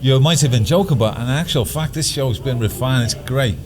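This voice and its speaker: A man's voice: mid-range voice, fairly quick. Jokey man amid crowd chatter. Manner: jokey, conversational. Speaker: pantomime actor. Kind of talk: casual interview chat. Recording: busy crowd chatter behind voice.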